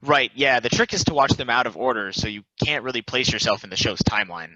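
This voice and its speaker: Man acting a bright-voiced, self-deprecating immortal athlete. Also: mid-range voice, fast talker, dry recording. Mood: animated, candid. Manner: rambling, confiding, conversational. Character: self-deprecating, philosophical, restless immortal football player. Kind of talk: casual table read of a stage play. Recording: dry, slightly dull recording.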